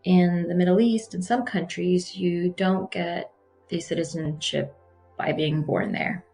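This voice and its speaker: A woman's voice: fairly low pitch, fairly even intonation, measured pace, mellow, faint music behind. A woman raised in Abu Dhabi, an architect, explaining her background in a matter-of-fact way. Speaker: guest architect. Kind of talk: recorded personal account.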